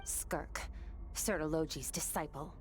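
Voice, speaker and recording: A woman voicing a composed young warrior in a video game, blunt and detached, with loud music playing underneath. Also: sits low, fairly even intonation, slow, whispered. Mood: calm, curious. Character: cool, composed young female warrior, a master's disciple.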